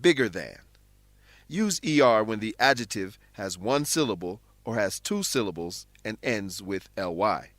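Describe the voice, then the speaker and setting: A man narrating a scripted English lesson in a deliberate, explanatory manner, like a teacher. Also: fairly low pitch, animated, a bit bright, dry recording.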